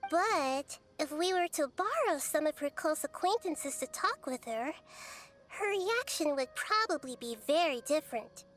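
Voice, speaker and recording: A woman voicing a small, wise, gentle girl deity in a video game, speaking in a measured, thoughtful, explanatory way. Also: sits high, lively intonation. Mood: confident planning. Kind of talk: video game character dialogue. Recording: music behind.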